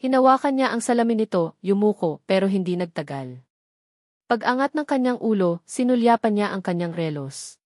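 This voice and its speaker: A woman's voice: medium pitch, animated, normal speed, darkish tone, no echo. Woman narrating drama in a dry recording. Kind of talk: scripted first-person dramatic story narration.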